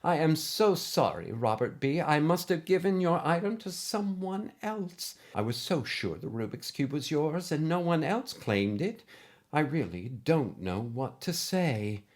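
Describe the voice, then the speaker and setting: Man voicing a prim, high-pitched older lady schoolteacher. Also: very animated, measured pace, exaggerated voice. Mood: concerned, apologetic. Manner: formal, precise, gently scolding. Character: kindly but exacting older woman, former schoolteacher.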